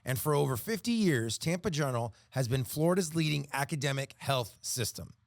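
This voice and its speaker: A man's voice: medium pitch, expressive, a little fast, creaky, dry recording, studio quality. A man reading a scripted sponsor advertisement as an announcer.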